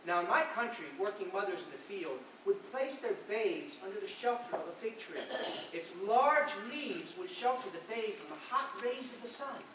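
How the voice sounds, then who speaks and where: A man playing an earnest, devout fisherman disciple in a stage monologue, declaiming his story in a measured way. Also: high-pitched, expressive, pushed and bright. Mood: reverent. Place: large reverberant indoor hall, recorded from afar. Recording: very echoey hall, distant mic, noisy.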